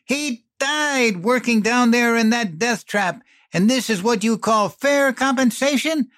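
A man's voice: extremely high pitch, lively intonation, unhurried, fairly bright tone, dry recording, studio quality, voice pushed up, exaggerated voice. A man voicing a grieving widowed mother who screams bitter insults in a fury. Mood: furious.

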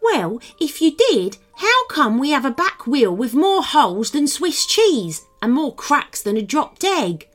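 A woman's voice: sits high, big pitch swings, a little slow, hardly any pauses, soft background music, pitched above the performer's own voice. A woman voicing a grumpy older male gnome in a children's story, sarcastic and exasperated as he snaps. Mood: exasperated, scolding.

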